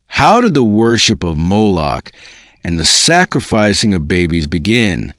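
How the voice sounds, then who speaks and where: A man with a fairly low voice narrates a scripted book in a dramatic style. His delivery is deliberate and made for storytelling, with lively, wide-moving intonation. The sound is dry, with no room echo. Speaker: voice-over narrator reading a book.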